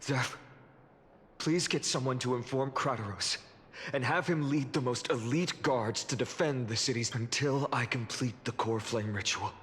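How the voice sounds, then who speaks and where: An actor playing an earnest young male leader in a video game, speaking in a measured, formal, solemn way. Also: medium pitch, fairly bright tone, a little hiss or hum, close to an everyday voice. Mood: grave, urgent. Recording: long reverb, music behind.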